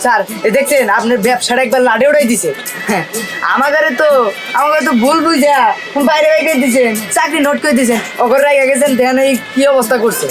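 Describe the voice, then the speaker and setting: A woman's voice: sits high, fast, natural voice. A woman playing a fawning, pushy underling who tattles to the boss, in a comic drama with a Bangladeshi accent. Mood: tattling. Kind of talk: comic short-drama acting. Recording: music behind the dialogue.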